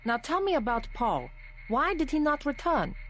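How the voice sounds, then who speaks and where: A woman playing a sly, poised Hong Kong politician in a video game, with a measured, persuasive delivery. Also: high voice, sing-song, slight background noise. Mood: guarded, brooding.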